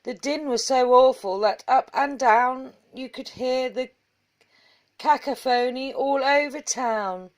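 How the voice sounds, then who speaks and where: Woman reading a kids picture book out loud, slow, rhythmic rhymey delivery. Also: high voice. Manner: measured, rhythmic verse delivery. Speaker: story reader. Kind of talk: children's storybook read aloud.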